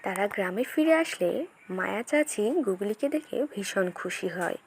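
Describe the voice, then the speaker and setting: A woman's voice: medium pitch, expressive, a little slow, slight background noise. A woman storyteller giving scripted narration of a children's story.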